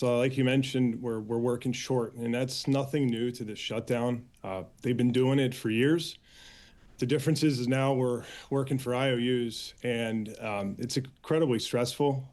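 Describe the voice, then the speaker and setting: An American man answering as an interview guest and union spokesman, earnest and halting, with frequent filler pauses. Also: mid-range voice, darkish tone. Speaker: air traffic controllers' union official, interview guest.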